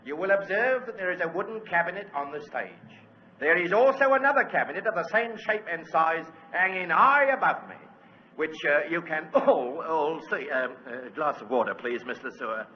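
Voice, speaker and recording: A man playing a pompous, flustered stage magician with florid, halting showman patter in 1940s radio style. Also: a little high, lively intonation, lightly acted. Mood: grandiose. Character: pompous, flustered music-hall stage magician addressing his audience. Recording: echoey, dull, noisy old radio recording.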